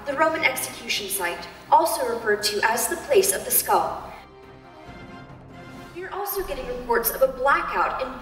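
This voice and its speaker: A woman's voice: high-pitched, fairly bright tone. A woman playing a TV news anchor in a church drama, delivering a bulletin in a formal, announcing manner. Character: brisk female TV news anchor. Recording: distant, echoey hall with faint music.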